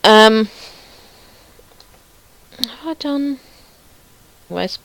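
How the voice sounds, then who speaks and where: Slow-paced woman casually demoing her project. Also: a little high, expressive, gravelly. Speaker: visual novel creator showing own project. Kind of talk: casual screen-recorded demo commentary.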